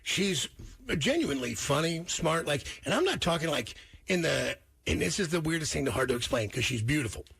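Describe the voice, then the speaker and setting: Husky, animated man hosting morning radio. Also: fairly high pitch, a little fast. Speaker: morning radio show host. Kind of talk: casual radio banter.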